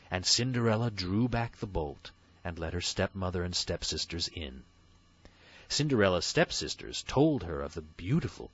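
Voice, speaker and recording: A man's voice: low-pitched, a bit bright, slightly rough, highs cut off. A man reading a fairy tale as an audiobook narrator, in a scripted bedtime story style.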